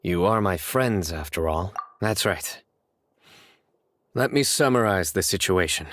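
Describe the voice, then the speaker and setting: An actor playing a serious young investigator in a video game, measured and formal with a deadpan delivery. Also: male voice, low voice, a little hiss or hum. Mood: calm. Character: serious, composed young male investigator officer.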